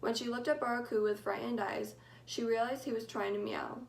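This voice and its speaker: A teenage girl giving a mock-serious school presentation, telling a made-up story in a deadpan, mock-formal way. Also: a little high, a little fast, vocal fry. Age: teens. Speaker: student presenter.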